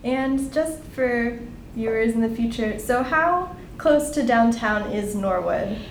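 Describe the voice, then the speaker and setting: A young woman, a graduate student, reading a formal oral history introduction and then asking interview questions in a measured way. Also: expressive. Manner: measured, reads the consent script carefully. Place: conference room. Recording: echoey room with faint rumble.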